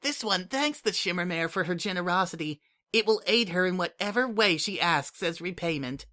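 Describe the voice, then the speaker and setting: A man's voice: high-pitched, lively intonation, moderate pace, bright, forceful tone, voice pushed up. A man voicing an eager young female changeling child who speaks of herself in the third person, formal and dutiful.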